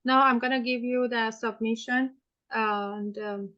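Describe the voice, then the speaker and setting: A woman playing counsel in a mock hearing, asking questions hesitantly with many pauses. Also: on the high side, steady tone, sharp tone, lo-fi sound. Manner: hesitant, many fillers and restarts.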